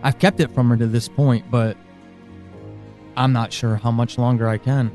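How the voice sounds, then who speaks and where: A man playing a worried, frustrated friend in an audio drama monologue, speaking haltingly and intensely. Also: mid-range voice, fairly even intonation, darkish tone, soft background music, pitched below the performer's own voice, close to an everyday voice. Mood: worried, resigned.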